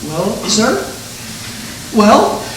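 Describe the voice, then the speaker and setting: An actor playing an eager, naive junior angel, anxious and deferential, in 1940s radio style. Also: male voice, high voice, huge pitch swings, drawn-out words, full character voice. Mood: anxious curiosity. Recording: echoey stage, distant mic, loud noise.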